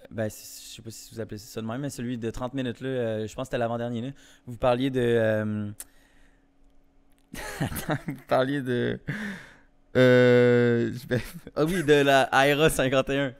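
Brief: young male guest from Guadeloupe, middle-pitched, relaxed chatty delivery. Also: normal speed, clear, resonant tone. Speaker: podcast guest. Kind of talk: casual podcast chat.

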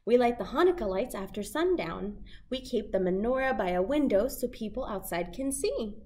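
A young woman hosting a children's storytime, reading a picture book aloud in simple, deliberate phrases for toddlers. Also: on the high side, lively intonation, a little mellow. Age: young adult. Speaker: children's library storytime host. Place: small indoor set with fabric backdrop.

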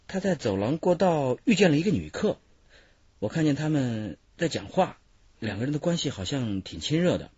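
A man's voice: a little high, big pitch swings, normal speed, darkish tone, husky, dry recording. An actor playing a knowing, gossipy male hotel attendant as a witness in a radio drama. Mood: confiding. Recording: dull lo-fi radio drama recording.

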